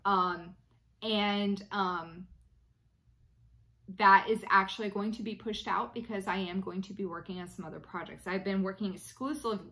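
Late-30s woman, chatty at-home vlogger, bright and forward voice, lots of ums and restarts. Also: medium pitch, fairly even intonation, moderate pace. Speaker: cross-stitch vlogger. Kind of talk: casual chat to camera. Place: small room indoors at home.

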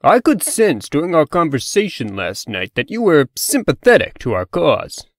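A man's voice: on the high side, huge pitch swings, normal speed, no room sound, clean studio sound. A man voicing a scheming, persuasive revolutionary farm pig in a comic dub, earnest and conspiratorial. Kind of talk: comic dub character acting.

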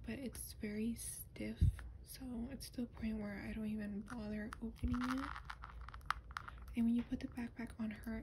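A woman speaking softly and breathily, often whispering, in a gentle, unhurried ASMR show-and-tell. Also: a little high. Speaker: doll collector showing her collection. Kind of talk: soft-spoken ASMR product show-and-tell. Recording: noisy lo-fi ASMR recording.

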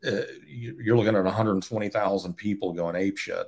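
A man's voice: on the low side, steady tone, a little fast. A man in his forties from Georgia, a guest on a video call, chatting casually with blunt, swear-laden storytelling. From Georgia, USA. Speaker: guest, photographer. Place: home room, indoors. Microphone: webcam on a video call.

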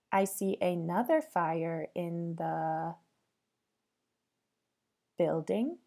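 A woman teacher reading a storybook aloud to young children, with a sing-song delivery, pausing to put questions to them. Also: on the low side, dark tone.

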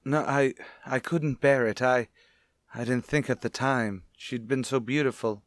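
A man acts a widowed father in an old-fashioned radio drama. He sounds anguished, self-pitying and theatrical, in a mid-pitched voice. The sound is close and dry. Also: fairly even intonation, unhurried, dry recording, lightly acted. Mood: grieving, evasive. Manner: melodramatic, distraught. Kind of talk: old-time radio play acting.

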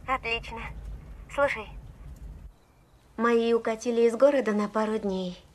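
An actress playing an earnest, lovestruck teenage daughter in a dubbed film drama. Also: female voice, on the high side, a little slow, close to an everyday voice. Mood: soft, hopeful.

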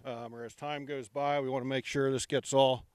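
A middle-aged man giving a relaxed walking tour of an outdoor construction site, speaking into a handheld microphone with many ums. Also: mid-range voice, normal speed, smooth, dry recording.

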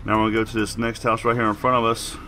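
A man's voice: lowish voice, flat delivery, very fast, pushed and bright, soft background music. A man giving casual, plain-spoken game walkthrough commentary as a video host while getting over a cold.

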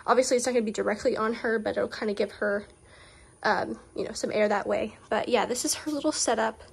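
A young woman with a Southern US accent, talking casually through a how-to farm vlog, full of "um"s and soft, soothing words to her animals. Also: a little high, fast talker, creaky, husky. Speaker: vlogger caring for farm animals. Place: outdoors on a farm by animal pens. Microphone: phone camera held close, selfie framing.

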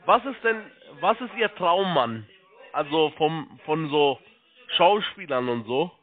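A man working as a call-centre agent, giving halting step-by-step instructions, repeating himself and spelling things out. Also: fairly high pitch, lively intonation. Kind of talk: step-by-step phone sales instructions. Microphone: telephone. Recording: tinny narrow phone line.

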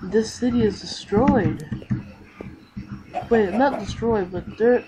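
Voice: medium pitch, takes it slowly, noisy background. A gamer improvising an anime roleplay over video gameplay, acting out dialogue and calling out attacks.

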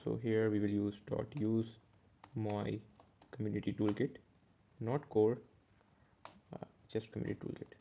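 A man's voice: lowish voice, flat delivery, a little slow, creaky, pauses often, mellow, highs cut off. A man teaching a step-by-step coding tutorial over a screen recording, correcting himself as he goes. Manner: step-by-step, self-correcting with "uh" and "okay". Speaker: programming tutor.

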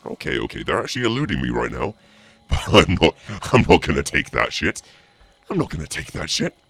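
A man commentating on a game in a theatrical, mock-menacing, sweary way, his voice pitched down by a voice changer. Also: sing-song, a little fast, fairly bright tone, dry recording. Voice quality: deep, gravelly, through a voice changer. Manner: theatrical mock-menacing taunts mixed with muttering. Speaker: streamer playing a game as the killer.

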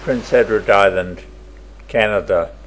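A man narrating a travel video in a plain, measured voice-over. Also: on the low side. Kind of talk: slow scripted travel voice-over.

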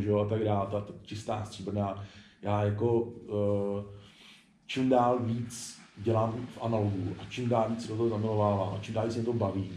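A man's voice: on the low side, warm tone, slight background noise. A man in his forties talking casually and candidly as an interview guest, rambling informally. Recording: echoey room.

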